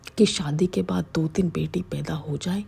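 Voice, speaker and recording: A coarse, boastful older father-in-law, as a woman whispers him in a story. The voice is husky and gravelly, low and dark, with no real change from the narrator's own. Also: fairly even intonation, moderate pace, full character voice. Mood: sly.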